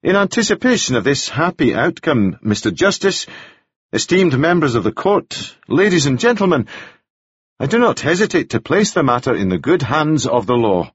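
A man's voice: on the high side, sing-song, normal speed, husky, no room sound, lo-fi sound, voice pushed up. A man voicing an earnest male defense lawyer giving formal, rhetorical courtroom oratory.

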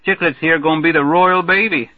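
A booming, jolly fat army major, put on by a male narrator, pitched well above the reader's own voice and pushed loud and hearty. The sound is thin and tinny like a phone line, and completely dry. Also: steady tone, a little fast, no echo, natural voice. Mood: boisterous. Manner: boisterous, hearty laughter.

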